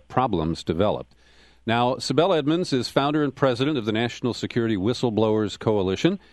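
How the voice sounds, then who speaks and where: A man hosting a political radio interview, talking in a measured way and reading out web addresses slowly. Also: fairly low pitch, darkish tone, no echo. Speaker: radio talk show host.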